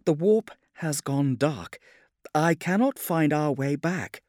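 A man's voice: fairly low pitch, big pitch swings, normal speed, dry recording, clean studio sound. A man voicing an anxious, refined noblewoman who navigates starships, with an upper-class accent, in a sci-fi audiobook. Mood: afraid.

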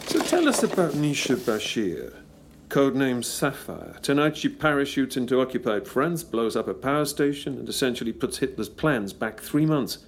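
An actor playing a brisk, confident, playful male time traveller in an audio drama. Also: medium pitch, sing-song, a little hiss or hum.

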